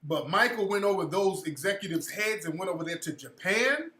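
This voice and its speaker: A man's voice: high-pitched, expressive, fairly quick, bright, forceful tone, reverberant. A man telling stories in casual commentary, emphatic and full of profanity.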